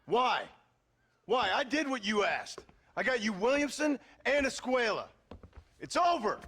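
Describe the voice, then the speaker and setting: Gruff American outlaw, faint noise. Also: male voice, very high voice, very animated, unhurried, sharp tone, exaggerated voice. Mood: furious, threatening. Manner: terse, sardonic. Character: gruff, blunt American outlaw forced into government service. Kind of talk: video game cutscene acting.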